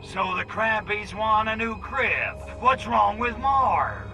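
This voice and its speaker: Cocky, swaggering alien invader, slow drawn-out delivery. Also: male voice, high-pitched, expressive, pushed and bright, muffled recording, soft background music, exaggerated voice. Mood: weary sarcasm. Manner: brash, sarcastic wisecracking. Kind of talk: video game cutscene acting.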